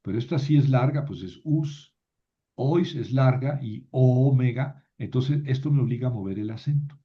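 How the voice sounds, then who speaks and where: A man teaching a class, slowly reciting word forms and thinking aloud as he explains. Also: medium pitch. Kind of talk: slow classroom lesson on grammar. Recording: dull lo-fi recording.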